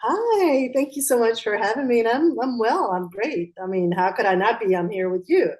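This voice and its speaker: A woman's voice: on the high side, lively intonation, moderate pace, a little mellow. A woman from Pakistan who grew up in Atlanta, speaking as a guest in a conversational interview, reflective and often restarting her sentences. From Pakistan, raised in Atlanta, Georgia. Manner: reflective, with frequent restarts and fillers.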